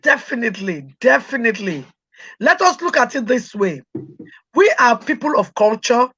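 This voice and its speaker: A woman's voice: fairly high pitch, sing-song, normal speed, fairly bright tone, husky. A middle-aged Nigerian woman with a Nigerian accent, speaking as an interview guest, emphatic and proud, repeating words for stress. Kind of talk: interview conversation.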